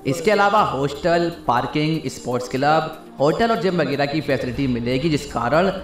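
A man's voice: a little high, lively intonation, moderate pace. A man reading scripted countdown narration in the style of an online video. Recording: roomy playback with music bed. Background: background music.

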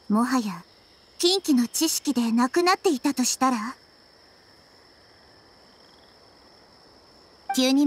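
A woman playing a gentle, wise child-like nature deity in an anime game, speaking softly and thoughtfully. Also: sits high, slight background noise, natural voice. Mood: calm, pondering. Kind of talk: Japanese anime game voice acting. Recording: background music under the voice.

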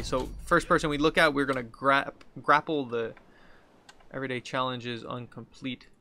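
A man's voice: medium pitch, pushed and bright. A man in his thirties giving casual video game commentary as a streamer, thinking aloud in an informal way. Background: game music.

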